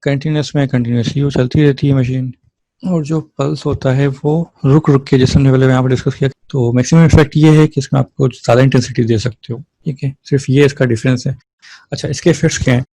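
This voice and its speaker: Low-pitched male lecturer, flat and mellow. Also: quick pace, no echo. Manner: explanatory, checks in with rhetorical questions and tags. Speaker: physiotherapy lecturer. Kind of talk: informal explanatory lecture, mixing in English terms.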